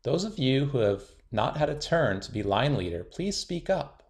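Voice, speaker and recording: A man's voice: medium pitch, big pitch swings, normal speed, gravelly, pitched above the performer's own voice. A man voicing a polite woman schoolteacher in a children's storybook, with a sweet, sing-song manner. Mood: sweetly polite.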